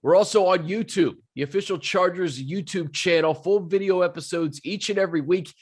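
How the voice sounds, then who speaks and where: Male podcast host, slightly high voice, friendly and smooth on the sign-off. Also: fairly quick. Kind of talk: casual interview and show outro.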